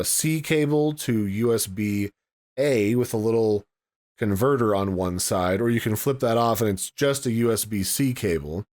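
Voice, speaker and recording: A man's voice: mid-range voice, darkish tone. A man giving a casual, chatty product review and walkthrough, explaining things in a folksy way. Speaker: tech reviewer.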